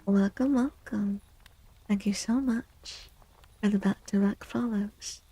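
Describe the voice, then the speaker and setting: A soft-spoken woman streaming ASMR, talking gently in a husky, breathy voice that often drifts into whispers, with playful, quaintly formal wording. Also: mid-range voice, steady tone, takes it slowly, no echo, rough recording. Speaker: live streamer and tarot reader.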